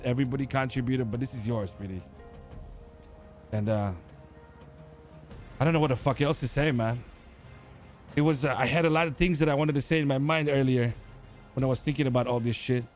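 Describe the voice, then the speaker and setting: A man with an ordinary middle pitch talks in a slow, halting way, full of filler words. He is speaking in character in a roleplay game. Faint music runs behind him. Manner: hesitant, halting confession with many fillers. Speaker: gang member in a roleplay server.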